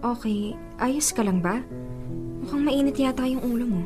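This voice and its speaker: A young Filipino girlfriend, played by a woman, speaks in a high voice over soft music in a radio drama. She is evasive and guilty, defending herself and imploring her lover. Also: normal speed, natural voice. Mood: casual, uneasy. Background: dramatic background music.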